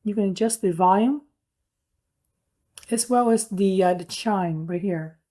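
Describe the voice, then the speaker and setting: A woman's voice: mid-range voice, unhurried, mellow. A woman giving a casual hands-on product review, explaining things in an informal, plain-spoken way.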